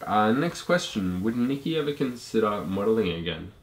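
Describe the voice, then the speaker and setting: Low-voiced jokey man in his thirties. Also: very animated, normal speed. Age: 30s. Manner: laid-back, jokey, laughs often. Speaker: vlogger, co-host with partner. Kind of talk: casual Q&A chat. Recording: echoey room.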